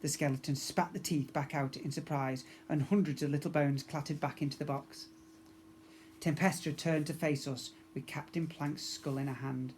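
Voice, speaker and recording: A man's voice: a little high, steady tone, moderate pace, darkish tone, slight background noise. Man reading a storybook expressively. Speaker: teacher reading to young children.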